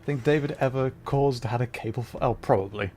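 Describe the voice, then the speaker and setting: A man's voice: mid-range voice, fairly quick, warm tone, slight background noise. A man livestreaming a video game, chatting casually to viewers and rambling as he thinks aloud while playing.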